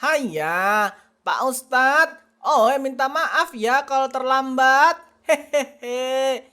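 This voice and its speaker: A woman's voice: sits high, extremely slow, bright, forceful tone, heavily acted. A woman voicing a cheerful, friendly young man with quirky speech in a cartoon. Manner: friendly, chuckling.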